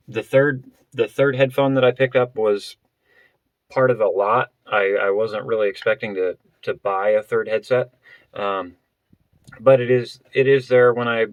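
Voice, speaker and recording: Guy with kinda low voice doing a casual gear vlog, stop and start, rewords stuff, no room echo. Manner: hesitant, halting, often trailing off to rephrase. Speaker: vlogger presenting a budget gear setup. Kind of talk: casual unscripted product explanation.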